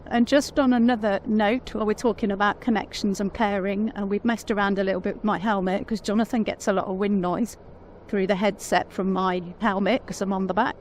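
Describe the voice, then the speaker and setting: A woman with a naturally quiet voice, chatting casually on a vlog and laughing often. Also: mid-range voice, fairly even intonation, brisk, few pauses, slight background noise. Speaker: motorcycle vlogger, pillion rider. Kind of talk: casual chat.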